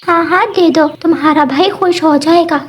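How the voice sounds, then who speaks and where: Woman as a high, chatty lady parrot. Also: moderate pace, a little hiss or hum. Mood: friendly. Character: friendly, chatty female parrot neighbour.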